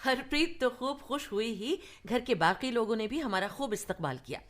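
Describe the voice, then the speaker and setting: A woman's voice: fairly high pitch, animated, normal speed, a bit bright, soft background music. A woman playing a young woman fondly telling childhood memories in a radio play. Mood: amused, reminiscing. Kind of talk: radio play acting, storytelling.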